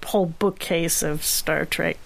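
A woman's voice: low-pitched, fairly even intonation, unhurried, gravelly. A middle-aged or older woman co-hosting an interview, chatting casually in a wry, teasing way. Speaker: interview co-host. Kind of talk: casual chat.

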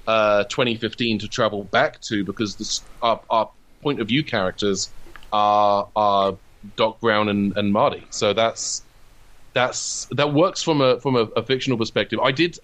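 A man's voice: on the low side, a bit bright. Man chatting as an interview guest. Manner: enthusiastic, discursive, geeky. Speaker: interview guest, comedy writer. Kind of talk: casual interview chat.

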